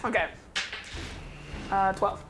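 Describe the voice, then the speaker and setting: A woman's voice: slow, background noise. A woman talking casually and a little hesitantly as a player in a tabletop game.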